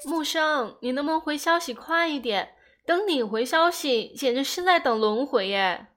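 Spoiled young girlfriend, high-pitched, bright, whiny and coquettish, radio drama style. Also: lively intonation, faint music behind. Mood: whiny, impatient. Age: young adult. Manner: whiny, coquettish, drawn-out words. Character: petulant, pampered young girlfriend, cutely nagging.

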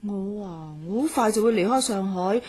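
A woman playing an outspoken, loyal young student in a radio drama, expressive and exclamatory. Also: medium pitch, normal speed, breathy edge, lightly acted. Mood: chatty, confiding.

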